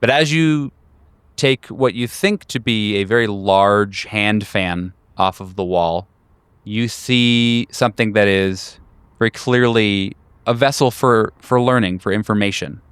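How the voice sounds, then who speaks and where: A man narrating a horror tabletop game as game master, descriptive and deliberate, pausing between phrases. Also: lowish voice, fairly bright tone, clean tone, no echo.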